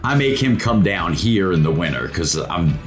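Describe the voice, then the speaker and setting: Middle-aged American man, casual interviewer, lowish lively voice, folksy and halting. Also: over music. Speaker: talk show host.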